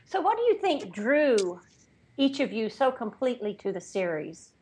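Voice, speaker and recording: A woman hosting an interview, asking her guests expressive questions. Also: a little high, moderate pace, slight background noise. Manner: expressive questioner, laughs often. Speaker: host.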